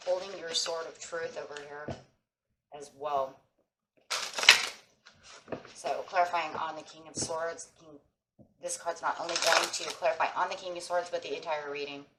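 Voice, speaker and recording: A woman with a hoarse voice from a sinus infection, giving a casual tarot reading and speaking encouragingly to viewers as friends. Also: deep voice, steady tone, normal speed, long pauses, reverberant, very noisy. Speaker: tarot reader. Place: table with tarot cloth, indoors.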